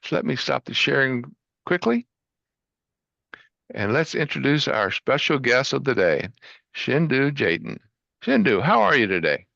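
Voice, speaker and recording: A man's voice: mid-range voice, big pitch swings, unhurried, creaky, pauses often, pushed and bright, dry recording, lo-fi sound. A man hosting an online show, introducing his guest with upbeat enthusiasm over a video call. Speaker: host.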